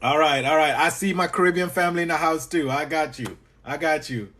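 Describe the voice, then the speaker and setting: A man with a Trinidadian accent, a business coach teaching on a live stream and chatting back to viewers with quick repeated acknowledgements. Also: fairly high pitch, a bit bright. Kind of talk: live-stream teaching while answering chat.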